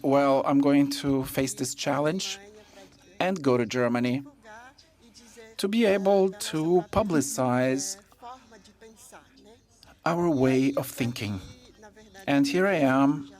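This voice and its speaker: Slow, measured conference interpreter. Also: medium pitch, a little mellow. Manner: measured, with pauses and fillers. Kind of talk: live interpretation of a talk.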